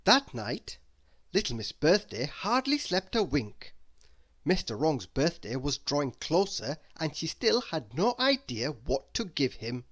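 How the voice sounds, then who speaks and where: A storybook reader narrating a children's tale aloud with expressive emphasis. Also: medium pitch, a little slow.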